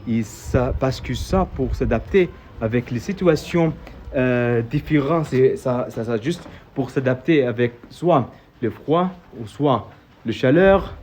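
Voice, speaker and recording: A man's voice: mid-range voice, animated, takes it slowly, darkish tone. A man from Saudi Arabia whose first language is Arabic, guiding a tour in French with an Arabic accent, hesitant, full of fillers and repeated words, recorded outdoors. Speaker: local tour guide vlogger. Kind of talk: casual guided-tour explanation. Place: outdoors at historic old town. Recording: dull, slightly noisy outdoor audio.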